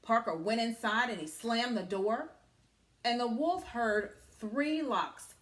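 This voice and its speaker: A woman teacher reading a story aloud to young children, with dramatic, deliberate phrasing and hushed asides. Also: on the high side, reverberant.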